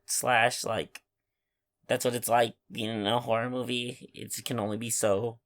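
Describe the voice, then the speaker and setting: Male co-host, medium pitch, offhand and halting, everyday conversational delivery. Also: flat delivery, fairly bright tone. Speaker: podcast co-host. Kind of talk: casual chat.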